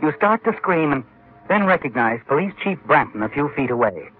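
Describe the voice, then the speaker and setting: A man giving measured, suspenseful narration in a vintage radio drama style. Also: a little high, very animated, a little hiss or hum. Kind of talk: dramatic second-person 1940s-50s radio narration. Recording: tinny old radio recording with faint music.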